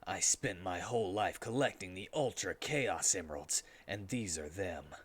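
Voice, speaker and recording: A man's voice: sits low, expressive, normal speed, pitched below the performer's own voice. A man voicing a brooding, solemn cartoon hedgehog making grave, dramatic speeches.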